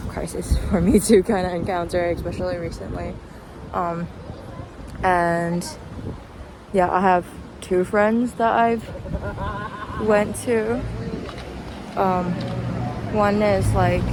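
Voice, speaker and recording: A woman's voice: lowish voice, gravelly. Rambling young woman, noisy street behind. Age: young adult. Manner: rambling, reflective. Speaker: vlogger. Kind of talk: casual chatty vlog monologue. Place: outdoors, walking. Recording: noisy outdoor street with traffic.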